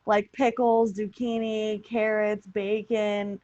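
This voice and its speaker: Woman, medium pitch, casual group chat, slangy and informal, dry dull recording. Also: flat delivery, takes it slowly, darkish tone, no echo. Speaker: podcast cast member. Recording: dull lo-fi audio.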